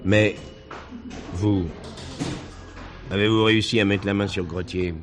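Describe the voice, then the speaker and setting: An actor playing a reserved village farmer in a 1970s French drama, formal, guarded and a little hesitant. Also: male voice, on the low side, steady tone, a little slow, music in the background, close to an everyday voice.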